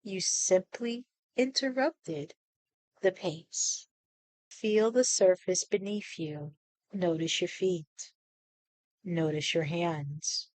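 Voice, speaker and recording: A woman leading a guided meditation in a soothing, deliberate manner, leaving pauses between short phrases. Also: fairly low pitch, darkish tone, dry recording, rough recording.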